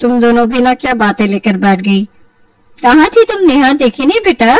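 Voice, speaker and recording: A woman plays a fussy middle-aged auntie in a cartoon family tale. She is chatty and familiar, with a high voice. The recording is dry and a touch distant. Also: lively intonation, moderate pace, no echo, close to an everyday voice. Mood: nosy chatty.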